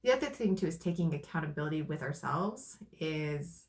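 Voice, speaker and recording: A woman in casual conversation with her partner, thoughtful and soft-spoken. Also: sits low, expressive, a little fast. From Arizona, USA. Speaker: co-host.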